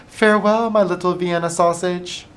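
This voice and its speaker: A man reading a storybook aloud, voicing a doting, fussy mother dog in a high, cooing, sing-song tone. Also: fairly even intonation, moderate pace, natural voice.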